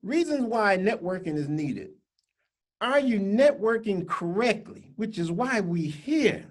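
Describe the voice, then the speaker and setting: A man's voice: high voice, expressive, a little slow. Male co-host, folksy and joking, reads slides over a webcam call. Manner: joking, folksy Southern-style dialect phrasing. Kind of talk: casual banter and slide reading.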